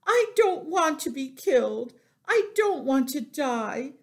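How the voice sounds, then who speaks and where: A woman's voice: high voice, very animated, slow pace, voice pushed up, full character voice. A woman voicing a hysterical, childish young male pig who wails and pleads in a storybook reading. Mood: frantic. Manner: screaming, wailing pleas.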